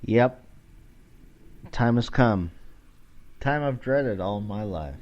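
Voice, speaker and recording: A man's voice: on the low side, animated, slow, a little mellow, dull audio. A man around forty rambling casually as a solo podcast host, irreverent, sweary and self-deprecating, recorded at home in his kitchen.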